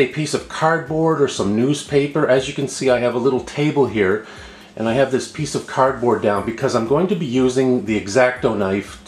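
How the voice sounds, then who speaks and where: A man with a mid-pitched voice hosts a hands-on how-to in a roomy, echoing indoor space. He explains each step patiently in an unscripted way, often restating his point. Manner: patient, explanatory, repeats himself. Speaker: host of a how-to video. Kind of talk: step-by-step tutorial narration, unscripted. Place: indoor room at a table.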